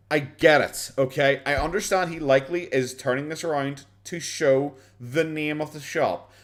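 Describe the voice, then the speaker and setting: A man's voice: fairly high pitch, fairly bright tone. An Irish man streaming a casual reaction chat, sarcastic, swearing often and laughing a lot.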